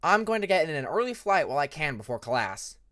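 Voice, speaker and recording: An easygoing young dragon prince from a fantasy audiobook, played by a narrator. He speaks in a mid-range voice with confident, relaxed friendliness. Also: mid-range voice, a little fast. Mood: friendly.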